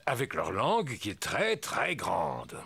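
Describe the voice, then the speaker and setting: A man's voice: mid-range voice, wildly swooping pitch, slow pace, vocal fry, pushed and bright, breathy edge, no room sound, exaggerated voice. A man playing a kindly, playful old grandpa pig in a French preschool cartoon. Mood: kindly, explaining. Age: older. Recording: music behind the voice.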